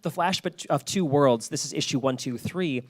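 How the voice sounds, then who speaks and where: A man's voice: fairly high pitch, normal speed. A man giving an informal public lecture in a chatty, colloquial storytelling way with asides. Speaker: presenter at a public talk.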